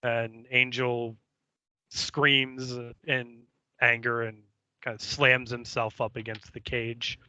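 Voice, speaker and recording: A man co-hosting a podcast, giving a casual episode recap in a halting, hesitant, foul-mouthed way. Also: medium pitch, level tone, bright, forceful tone, dry recording, lo-fi sound. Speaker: podcast co-host.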